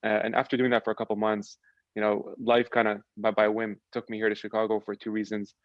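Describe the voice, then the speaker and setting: Fast-talking low-voiced young man. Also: no echo, lo-fi sound. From Lebanon. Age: young adult. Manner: relaxed, chatty, frequent restarts. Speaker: podcast guest. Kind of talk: casual chat.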